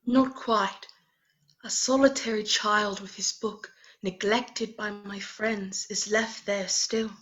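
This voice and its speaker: A woman's voice: mid-range voice, fairly even intonation, takes it slowly, a bit bright, poor audio. A woman playing a terse, solemn spirit. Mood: gentle. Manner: clipped, solemn replies.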